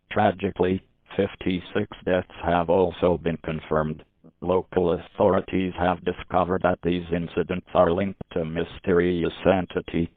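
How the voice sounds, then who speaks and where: Muffled lo-fi guy doing an emergency alert, super low voice, formal and measured. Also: dry recording. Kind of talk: scripted emergency broadcast announcement. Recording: muffled, band-limited lo-fi audio.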